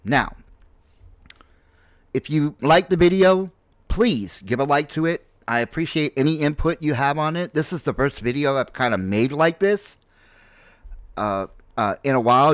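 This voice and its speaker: A man in his late forties talks off the cuff as a gaming host, with a mid-range voice. He speaks haltingly but with emphasis, often repeating a phrase to drive it home. The sound is dry and close to the microphone. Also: mid-range voice, no echo. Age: late 40s. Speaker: gaming video host and streamer. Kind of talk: casual unscripted opinion commentary on a game story.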